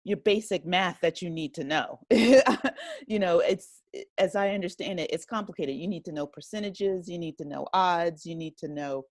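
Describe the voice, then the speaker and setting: A woman speaking as a guest in a casual interview, thoughtful and halting as she explains things. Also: on the low side, dry recording, studio quality. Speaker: podcast guest, writer and director.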